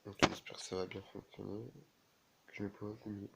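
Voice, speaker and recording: Male gamer with a cold, low monotone, casual halting play-by-play. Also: creaky, very noisy. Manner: halting, many fillers and restarts. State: has a cold, sneezing. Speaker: gaming video creator. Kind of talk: casual game commentary.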